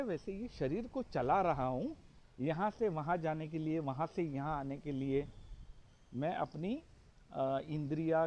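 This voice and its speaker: A man giving a spiritual discourse with a hoarse throat, asking questions and then answering them himself. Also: fairly high pitch, dark tone. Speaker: spiritual teacher.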